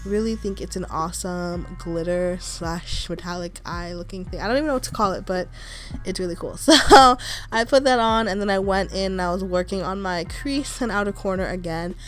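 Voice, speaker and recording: A woman's voice: fairly low pitch, normal speed, a bit bright, soft background music. Stuffy-nosed young woman narrating makeup. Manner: chatty, reassuring, with frequent "like" and "kinda". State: stuffy nose from a cold. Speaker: beauty vlogger. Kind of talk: casual makeup tutorial voice-over.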